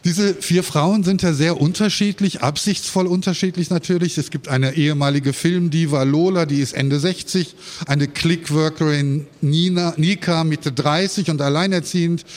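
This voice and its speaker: A man's voice: fairly high pitch, fairly even intonation, moderate pace. A man moderating a stage interview, asking questions with articulate, formal phrasing.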